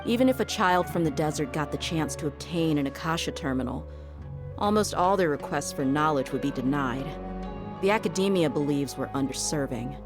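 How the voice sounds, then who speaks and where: A woman playing a tough, protective desert mercenary in a video game, measured and serious. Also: low-pitched, animated. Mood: bitter, grave. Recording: music playing behind the voice. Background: game background music.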